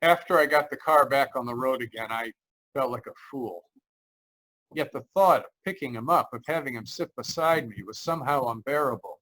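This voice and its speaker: A man's voice: mid-range voice, a little fast, sharp tone, close to an everyday voice, poor audio. A man playing an uneasy motorist who tells his eerie road story in old-time radio mystery style, with a hesitant, dramatic delivery. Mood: haunted, brooding. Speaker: actor, lead narrator. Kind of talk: 1940s radio mystery acting. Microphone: remote video call.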